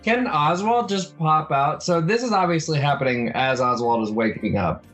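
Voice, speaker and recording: A man's voice: on the high side, expressive, normal speed, a bit bright, faint music behind. A man playing a tabletop role-playing game, chatting casually and playfully.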